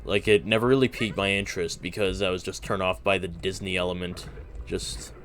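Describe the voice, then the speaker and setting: A young man casually chatting while streaming a video game, relaxed and easygoing. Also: low voice, fairly quick. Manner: relaxed, talks while playing, fillers and restarts. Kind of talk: casual gameplay chat.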